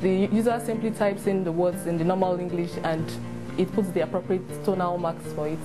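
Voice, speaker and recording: A woman's voice: on the low side, fairly even intonation, a little fast. A woman developer introducing herself and explaining her application plainly. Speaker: software developer. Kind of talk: documentary self-introduction. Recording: guitar music under voice.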